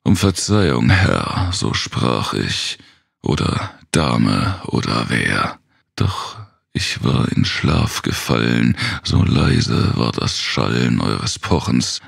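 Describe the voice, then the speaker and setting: A man with a gravelly, husky voice gives a brooding, theatrical recitation of gothic horror verse, sometimes sinking toward a whisper. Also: extremely low pitch, dry recording, studio quality. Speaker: narrator.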